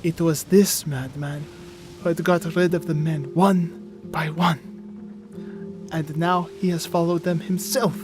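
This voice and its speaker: Schooner captain male actor, gothic radio drama narration: measured, grave, haunted by dread, slightly high pitch, scored with music. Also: fairly even intonation, close to an everyday voice. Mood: dread, despair. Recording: music playing behind the voice.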